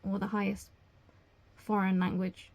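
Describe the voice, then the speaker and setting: A young woman answering job interview questions as a language teacher, explaining things in a measured way. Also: mid-range voice, flat delivery, a little mellow. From England.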